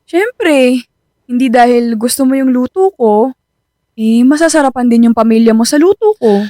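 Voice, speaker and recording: A woman's voice: a little high, moderate pace, warm tone, no echo, studio quality, lightly acted. An actress playing an anxious, self-doubting young Filipina girlfriend in a radio drama, hesitant and devoted. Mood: worried. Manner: hesitant, worried.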